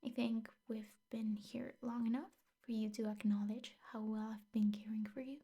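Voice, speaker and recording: A possessive yandere teacher girlfriend, acted by a woman in an intimate ASMR roleplay. Her voice is fairly high yet very soft and dark-toned, full of breath and often dropping to a whisper. She coaxes sweetly, with menace under the doting. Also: flat delivery, moderate pace, slightly rough.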